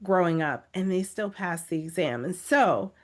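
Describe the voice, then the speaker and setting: A woman's voice: on the low side, animated, normal speed. A woman coaching test-takers on a live video webinar, reading comments aloud and urging them on with emphatic repetition. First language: English. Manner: encouraging, pleading, emphatic repetition.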